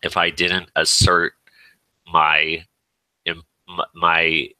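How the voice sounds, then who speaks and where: Very deep, bright, forceful man chatting. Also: no echo, rough recording. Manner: emphatic, rambling, acts out quoted remarks. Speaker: participant in an online voice chat. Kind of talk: casual chat, telling a personal story.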